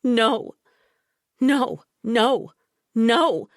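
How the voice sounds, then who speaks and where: A woman's voice: on the high side, animated, drawn-out words, no echo, studio quality, voice pushed up, heavily acted. A woman voicing a frantic, pleading young woman. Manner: pleading, insistent, loud.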